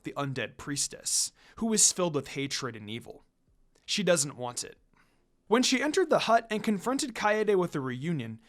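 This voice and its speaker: A man reading fan fiction aloud as a dramatic narrator. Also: high voice.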